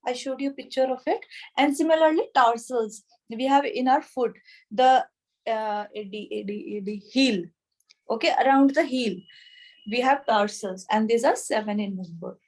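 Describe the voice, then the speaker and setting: A woman in her thirties with an Indian accent, teaching an informal online class in a halting way, checking in as she goes. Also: on the high side, poor audio. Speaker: anatomy teacher at a yoga school. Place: small room with a whiteboard, indoors. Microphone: device camera a short distance away, video call.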